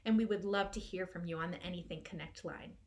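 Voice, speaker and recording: A woman's voice: fairly low pitch, fast talker, darkish tone. A woman hosting a children's storytime as a librarian, giving simple, encouraging instructions to young children. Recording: clearly noisy room recording.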